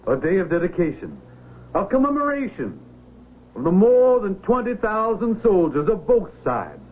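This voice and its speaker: Pompous orating senator. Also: male voice, high-pitched. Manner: orotund, ceremonial oratory. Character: senator giving a formal dedication speech. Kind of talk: 1970s radio drama acting. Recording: tinny old radio broadcast.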